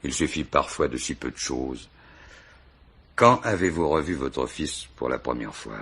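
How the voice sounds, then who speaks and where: An actor playing a wily, self-assured police commissioner in a radio mystery play, talking in a colloquial, teasing way. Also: male voice, very deep voice, lively intonation, a little slow, a bit bright, husky, full character voice. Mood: probing. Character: wily, jovial, bluffing male police commissioner. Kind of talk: French radio mystery drama acting.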